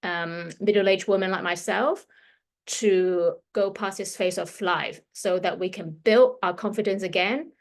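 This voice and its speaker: A woman in her fifties with a mixed Hong Kong and London accent, a fashion stylist leading a workshop in a loose, chatty way with many fillers. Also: mid-range voice. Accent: Hong Kong and London English. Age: 50s. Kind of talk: casual presentation to an audience.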